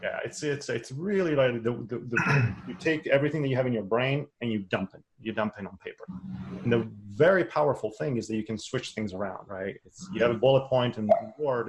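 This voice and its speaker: A man's voice: on the low side, a little hiss or hum, rough recording. A man, not a native English speaker, teaching a webinar lecture in a conversational way with frequent restarts and repeated words. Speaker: course instructor.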